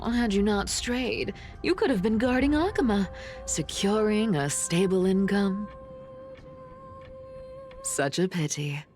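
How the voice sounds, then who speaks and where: A woman's voice: mid-range voice, lively intonation, takes it slowly, long pauses, a little mellow. A woman voicing a sly, smug trickster in a video game, theatrical and teasing, with a young adult sound. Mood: mock pity. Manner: teasing, mock-sweet, chuckling asides. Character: sly, smug, playful female trickster with ancient secrets. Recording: music behind the voice. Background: game music.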